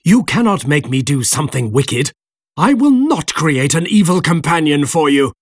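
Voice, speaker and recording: A man's voice: sits high, sing-song, normal speed, no room sound, studio quality, pitched above the performer's own voice. A man voicing an anguished, defiant scientist in an audiobook, emphatic and indignant. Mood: defiant, frightened.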